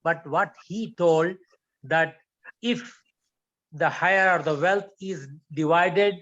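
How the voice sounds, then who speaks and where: A man taking part in a discussion, putting an informal question in a halting way over dull call audio. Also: on the high side, a bit bright. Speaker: participant asking a question.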